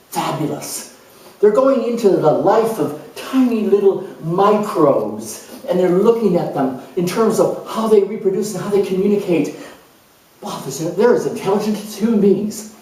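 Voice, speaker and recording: A man's voice: high voice, very animated, moderate pace, warm tone. A man playing an eccentric, scatterbrained, idealistic nonconformist in a rambling, emphatic theatrical monologue. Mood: excited, emphatic. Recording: very echoey room, far from mic.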